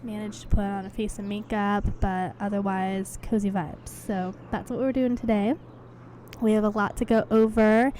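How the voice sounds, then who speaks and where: A young woman hosting a casual solo podcast, chatty and rambling, her voice hoarse from a cold. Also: mid-range voice, steady tone, moderate pace, warm tone, slight background noise. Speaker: podcast host. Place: home, indoors.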